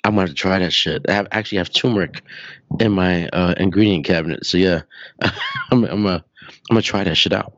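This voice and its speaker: A podcast host with a deep, low voice that is still recovering from being lost. He talks in a relaxed, blunt way and swears casually. The audio is dull and dry, with a lo-fi feel. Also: a little fast, no echo. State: voice still recovering after losing it. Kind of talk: casual interview chat.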